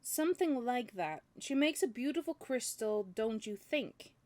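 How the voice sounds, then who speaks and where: A woman narrator voices a learned young man who is courteous and curious, a customer in a novel. The voice stays light and fairly high. It is recorded dry and without echo. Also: lively intonation, a little slow, darkish tone. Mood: musing.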